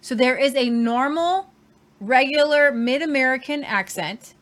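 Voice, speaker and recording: A woman with a slightly high voice co-hosting a casual talk show. She is animated and repeats words to drive a point home. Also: unhurried. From Southern Ohio. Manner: animated, repeats herself for emphasis. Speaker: co-host. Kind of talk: casual chat.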